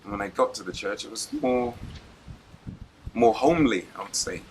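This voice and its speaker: A young man from Zimbabwe with a fairly low voice recounts his path into church life. He talks in a relaxed, reflective way and laughs easily. Faint noise runs behind him. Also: normal speed, rough recording. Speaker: guest preacher. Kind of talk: telling his life story in an interview.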